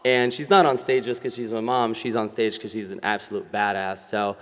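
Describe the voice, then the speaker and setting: A man's voice: on the low side, little pitch movement, a little fast, a bit bright. A young man hosting a live podcast interview in a casual, upbeat way. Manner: casual, upbeat, uses fillers like "like". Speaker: podcast host. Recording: live stage with long reverb.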